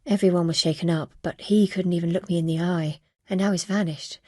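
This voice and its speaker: An actress playing a grieving, wary middle-aged widow who runs a medieval stud farm, speaking in an earnest, plain-spoken way in video game dialogue. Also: female voice, lowish voice, steady tone, brisk, mellow, no echo, clean studio sound, natural voice. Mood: guarded, troubled.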